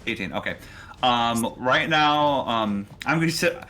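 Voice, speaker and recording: A man's voice: medium pitch, animated, a little slow, sharp tone, faint music behind. A man narrating a tabletop game as game master, improvising and talking players through rules and turns.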